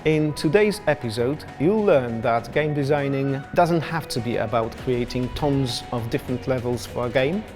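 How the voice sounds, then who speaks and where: A man hosting a company interview series, upbeat and quick to react to his guest. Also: medium pitch, lively intonation, music in the background. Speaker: interview host.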